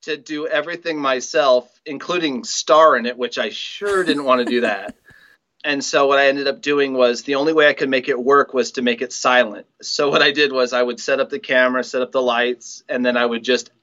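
A man's voice: mid-range voice, steady tone, fairly quick, fairly bright tone, highs cut off. A middle-aged man, a writer and director, telling stories casually as an interview guest.

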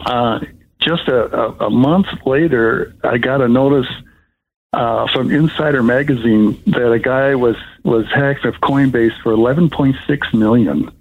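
An older man, sixties or above, giving an unscripted, rambling personal account as a caller, in a halting way. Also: medium pitch, narrow, tinny audio. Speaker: caller telling of being scammed.

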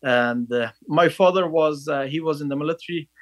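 A man, a podcast guest telling his story in a halting way, with many fillers. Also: fairly high pitch, dry recording. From Afghanistan. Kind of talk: casual interview storytelling.

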